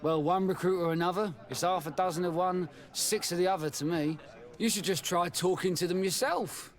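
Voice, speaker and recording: A man's voice: sits high, normal speed, natural voice. A man playing a chatty, sly ex-soldier tavern keeper in a video game, with a folksy manner. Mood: breezy. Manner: folksy, colloquial. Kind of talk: video game dialogue acting.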